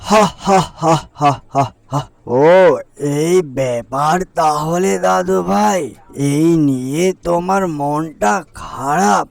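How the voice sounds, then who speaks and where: A jovial old grandfather from a cartoon, acted by a man. He speaks slowly and reassuringly in a gravelly, fairly high male voice, close and dry. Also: dry recording. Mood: amused, reassuring. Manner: coaxing, reassuring. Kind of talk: cartoon character acting.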